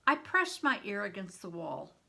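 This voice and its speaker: An older woman reading a novel aloud as a storyteller for children, with deliberate, dramatic phrasing and stretched emphasis. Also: mid-range voice, big pitch swings, creaky, bright, forceful tone, slightly rough. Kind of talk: expressive read-aloud storytelling.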